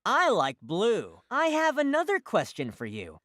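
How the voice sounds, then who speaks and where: Lady doing a cheerful curious cartoon pup for little kids, super swoopy sing-song, nice and slow. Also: a little high, wildly swooping pitch, a bit bright, dry recording, studio quality, heavily acted. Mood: bright, inquisitive. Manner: slow, exaggerated, sing-song teaching delivery. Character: cheerful, inquisitive puppy characters in a preschool cartoon. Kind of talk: scripted children's educational cartoon dialogue.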